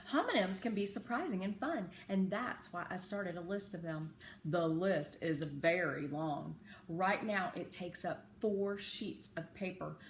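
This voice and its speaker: A woman reading a children's story aloud in an expressive, careful, teacherly way with chatty asides. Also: fairly low pitch, creaky. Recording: echoey room with faint background noise.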